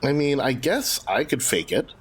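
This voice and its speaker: Animated man in casual game talk. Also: mid-range voice, normal speed, husky. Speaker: tabletop game player. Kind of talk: casual collaborative planning talk.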